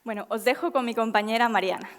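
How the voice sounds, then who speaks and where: A young woman scientist giving an earnest public talk, with frequent filler pauses. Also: fairly high pitch, a little hiss or hum. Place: lecture hall. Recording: reverberant hall.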